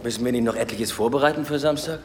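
A man's voice: medium pitch, fast talker, a little hiss or hum, lightly acted. A man playing a reserved, guarded young police inspector in a radio drama, speaking in a terse, understated way. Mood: guarded, earnest. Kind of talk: naturalistic radio drama acting.

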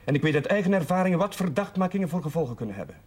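Earnest young curate, husky-edged. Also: male voice, on the high side, big pitch swings, rapid-fire, darkish tone. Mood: defensive, insistent. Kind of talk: 1970s Dutch television drama acting.

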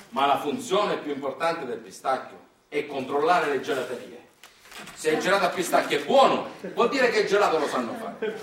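A man's voice: a little high, fairly bright tone. A man acting a nostalgic, chatty small-town Sicilian storyteller, speaking in a folksy, conversational stage monologue with dialect and a Sicilian accent. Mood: explanatory, wry. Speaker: stage actor performing a monologue. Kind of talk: colloquial theatrical storytelling monologue. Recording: roomy, echoing, distant mic, faint noise.

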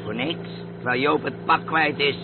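A man's voice: fairly even intonation, normal speed, natural voice. An actor playing an impatient, fretful, suspicious male crook in a radio drama, nagging sourly and repeating his words. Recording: tinny noisy radio recording, motor rumble.

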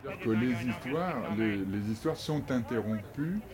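A man's voice: medium pitch, animated, normal speed, crowd noise. A man speaking reflectively and hesitantly, searching for words in an experimental radio piece.

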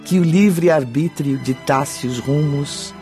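A man's voice: a little high, slow pace, darkish tone, soft background music. A man narrating a radio drama as a solemn storyteller, in dramatic scripted style. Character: solemn narrator of a spiritist tale.

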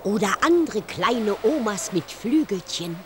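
A woman's voice: mid-range voice, animated, unhurried, a little mellow, slight background noise, natural voice. A woman playing a tiny flying granny in a children's radio drama, playful and cheerful, with a sing-song, rhyming manner. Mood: cheerful, comforting. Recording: music playing behind the voice. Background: plucked guitar music.

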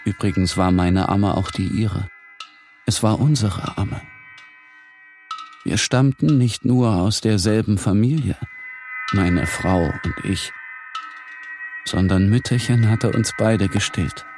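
An actor playing a tormented, morbid man who narrates a bitter literary monologue in a brooding, deliberate way. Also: lowish voice, a little mellow. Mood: dark reverie. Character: tormented, morbid male narrator confessing bitterly to his shadow. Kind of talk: literary audio drama monologue. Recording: music behind the voice.

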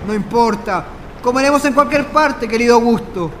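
A man's voice: extremely high pitch, normal speed, a bit bright, a little hiss or hum. An actor playing a courteous, conciliatory male traveler in a radio drama. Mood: patient. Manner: placating, courteous. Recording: long reverb, music underneath.

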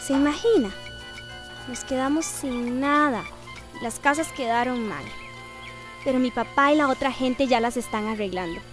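An actress playing a humble, plain-spoken young housemaid with a Central American accent, in a radio soap opera. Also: female voice, high voice, moderate pace, music in the background, natural voice. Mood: resigned, hopeful.

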